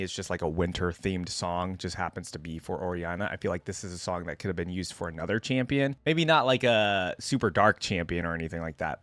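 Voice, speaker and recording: A man's voice: lowish voice, a bit bright, no echo. A man giving casual, easygoing reaction commentary as a video host, rambling and thinking aloud.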